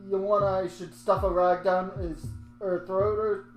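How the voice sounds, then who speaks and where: A woman voicing a gruff male mob thug with a tough-guy, clipped delivery. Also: medium pitch, flat delivery, a little slow, natural voice. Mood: menacing. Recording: roomy echo with faint music.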